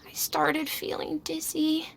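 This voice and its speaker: A woman playing a timid, guilty young boy who is unwell, speaking in a halting, innocent way in a video game. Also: sits high, completely flat delivery, vocal fry, full character voice. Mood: weak, confused. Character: sick, guilty, timid little child, a boy. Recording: music behind the voice.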